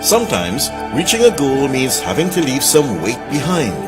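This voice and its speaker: A man reading a scripted public service announcement voice-over in a measured announcer manner. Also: mid-range voice. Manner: measured, lively announcer delivery. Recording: voice-over under loud music.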